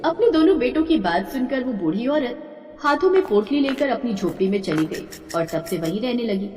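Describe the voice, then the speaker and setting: High, lively woman storyteller in echoey space. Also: normal speed. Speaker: storyteller narrator. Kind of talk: scripted narration of a Hindi moral tale. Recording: echoey reverberant distant voice, faint noise.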